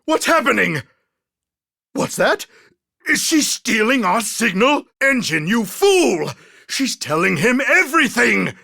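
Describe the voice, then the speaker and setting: A man's voice: extremely high pitch, big pitch swings, slow pace, gravelly, pushed and bright, no echo, clean studio sound, full character voice. A man voicing a pompous, scheming, exasperated mad scientist villain in a theatrical video game style. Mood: alarmed.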